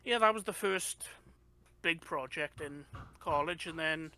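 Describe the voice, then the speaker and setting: A man's voice: fairly high pitch, unhurried, sharp tone, no room sound. A young man with a Welsh accent, a game developer chatting casually as an interview guest, rambling with lots of fillers and restarts. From Wales. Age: young adult. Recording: noisy background.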